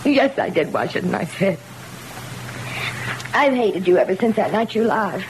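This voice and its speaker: A woman's voice: mid-range voice, animated, natural voice. Woman acting tormented wife, vintage radio hiss. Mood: anguished resentment. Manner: intense, halting, emotional. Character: tormented, exhausted wife full of buried resentment. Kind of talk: old-time radio horror drama acting. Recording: faintly noisy vintage radio recording.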